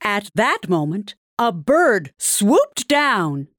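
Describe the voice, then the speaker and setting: A woman narrating a futuristic fairy tale in an audio drama, with a measured storybook delivery. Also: mid-range voice, very animated, fairly bright tone, no room sound, exaggerated voice. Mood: storytelling.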